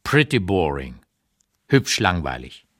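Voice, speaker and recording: A man voicing a sharp, dismissive male English literary critic in a radio literary reading, with clipped, scornful delivery. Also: deep voice, very animated, slow pace, heavily acted. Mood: bored disdain.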